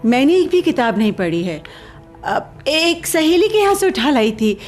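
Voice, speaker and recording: A woman's voice: high-pitched, big pitch swings, normal speed, faint music behind. An actress playing a proud, principled young woman in a radio play, earnest and formal, firm in her opinions. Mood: politely cool. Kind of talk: Hindi radio play acting.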